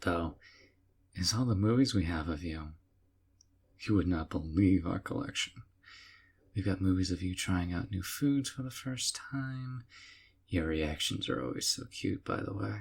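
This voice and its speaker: A man playing a doting, obsessive young man who keeps the listener, speaking in a calm, hushed, sweetly possessive way in an audio roleplay. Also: deep voice, moderate pace, vocal fry, slightly rough, heavily acted.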